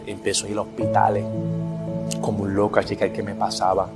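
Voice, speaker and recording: A man's voice: on the low side, completely flat delivery, loud music behind the voice. A man from Puerto Rico with a Puerto Rican Spanish accent, earnestly telling his faith testimony and quoting scripture. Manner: earnest, quotes scripture, slows for emphasis. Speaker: testimony speaker.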